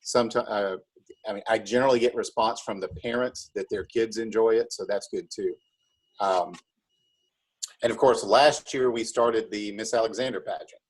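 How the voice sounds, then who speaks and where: A man being interviewed as a school drama club director, explaining in a casual, halting way. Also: on the low side, fairly even intonation, slightly rough, low-quality recording.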